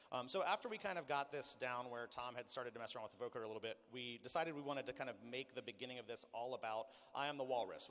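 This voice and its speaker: Male clinic presenter, mid-pitched and rapid, informal explainer delivery, recorded in a big echoing hall. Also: fairly bright tone. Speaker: music coordinator and sound designer giving a clinic. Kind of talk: spoken clinic presentation with demonstrations. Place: large hall, live clinic performance. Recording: long hall reverb.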